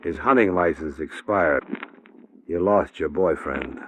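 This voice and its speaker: An American actor playing a cool, hardboiled insurance investigator in a 1950s radio detective drama, dry and clipped in delivery. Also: male voice, low voice, measured pace, a bit bright, slight background noise. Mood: coolly accusing. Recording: muffled vintage radio recording.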